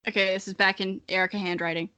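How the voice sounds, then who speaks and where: A woman's voice: mid-range voice, flat delivery, fast, pushed and bright, clean tone, no room sound. A young adult woman hosting a podcast, reading a childhood story dramatically with amused, self-mocking casual asides. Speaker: podcast host.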